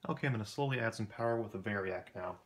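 Guy w a lowish voice showing a hobby project at his bench, informal, just talking it thru. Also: racing, a little hiss or hum. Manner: informal, matter-of-fact, unscripted. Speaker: hobbyist presenter. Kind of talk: casual workbench demonstration. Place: indoor electronics workbench.

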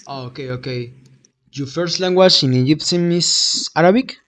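Ein junger Mann, dessen Muttersprache Spanisch ist und der in einem kleinen Zimmer locker in ein Handmikrofon Fremde nach ihren Sprachen fragt. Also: male voice, on the high side, fairly bright tone. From Peru. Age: young adult. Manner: friendly, asks quick questions. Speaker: host. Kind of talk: casual video chat with strangers. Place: small room indoors. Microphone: handheld microphone.

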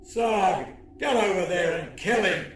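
Man playing a snarling, imperious alien commander, pitched up. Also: measured pace, roomy sound, recorded from a distance, slight background noise. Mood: furious. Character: pompous, menacing male alien commander, quick to rage.

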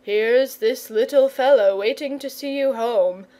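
A gentlemanly storybook knight, put on by a woman, kindly but firm. He speaks very slowly and reassuringly, in a measured way. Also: a little high, lightly acted. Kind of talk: children's storybook character.

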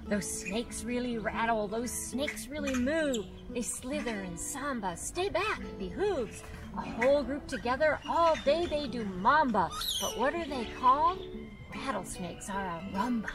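Lively older woman reading a storybook aloud. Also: on the high side, measured pace, music in the background. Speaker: zoo guide and author reading aloud. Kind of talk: reading a rhyming picture book aloud. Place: outdoors by a pond in a zoo.

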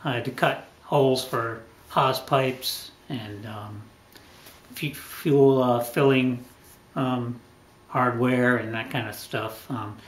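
A middle-aged man hosting a boat restoration channel, explaining his project in an unhurried way, thinking aloud with many ums and uhs. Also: medium pitch, fairly bright tone, echoey room, low-quality recording. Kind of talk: casual talking-head explanation. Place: small indoor workshop corner.

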